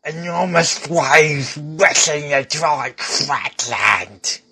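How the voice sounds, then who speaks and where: A man playing a dramatic describer of storms and disaster, with theatrical, drawn-out delivery. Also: a little high, sharp tone, breathy edge, exaggerated voice.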